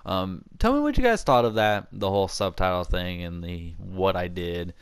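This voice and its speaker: A man's voice: low voice, lively intonation, normal speed, clear, resonant tone. A young man hosting a casual storytelling vlog, rambling and often interrupting himself mid-thought. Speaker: video channel host.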